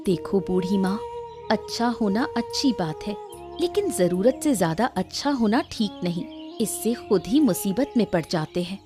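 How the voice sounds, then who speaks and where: A woman voicing a concerned, sympathetic neighbour who gently chides in an acted story. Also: medium pitch, big pitch swings, moderate pace, music in the background, natural voice. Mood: sympathetic, frank. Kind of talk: Hindi audio story acting.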